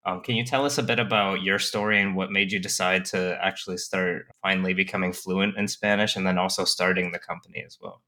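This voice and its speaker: A man's voice: low voice, steady tone, fairly quick, hardly any pauses, pushed and bright. A man co-hosting a podcast, asking the guest questions in a friendly, curious way. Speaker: podcast co-host. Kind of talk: interview questions.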